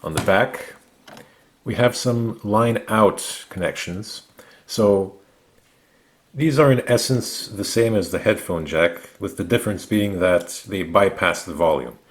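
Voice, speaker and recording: A man narrating a product review, explaining things methodically in short, measured phrases. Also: fairly low pitch. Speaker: gadget reviewer.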